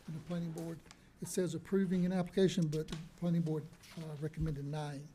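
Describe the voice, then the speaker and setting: Guy running a county board meeting, soft mellow voice, a bit high. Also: little pitch movement, brisk, slight background noise. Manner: soft-spoken, formal procedural phrasing. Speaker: board chairman. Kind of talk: chairing a public meeting. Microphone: desk microphone.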